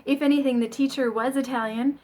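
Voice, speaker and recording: A woman's voice: sits high, steady tone, brisk, a little mellow, a little hiss or hum. Chatty woman rambling with a laugh. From United States. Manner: rambling, self-deprecating, frequent ums and laughs. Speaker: vlogger learning Chinese. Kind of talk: casual talking to camera.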